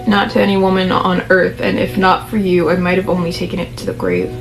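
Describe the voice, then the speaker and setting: A hoarse woman voicing a calm, persuasive wealthy young man, over background music. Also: lowish voice, brisk, low-quality recording. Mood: coaxing.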